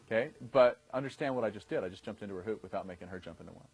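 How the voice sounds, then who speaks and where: A man's voice: on the low side, very fast, a little mellow, slightly rough, poor audio. A man in his thirties teaching a class in a conversational way, often checking in with his listeners as he explains. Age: 30s. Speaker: dating coach instructor. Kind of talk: instructional talk to a class.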